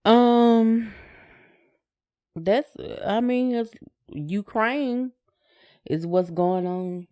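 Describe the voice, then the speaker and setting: A woman's voice: fairly high pitch, extremely slow, pauses often, warm tone, clean tone. A middle-aged American woman co-hosting a podcast, chatting casually in a tentative, colloquial way. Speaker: podcast co-host. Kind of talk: casual chat.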